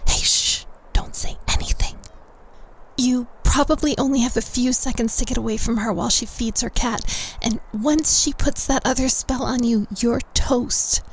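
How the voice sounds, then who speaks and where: A woman playing a friendly, chatty young schoolgirl rescuer in a roleplay audio drama, with a casual, animated delivery. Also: on the high side, normal speed, slight background noise, natural voice, rough recording. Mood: urgent, hushed.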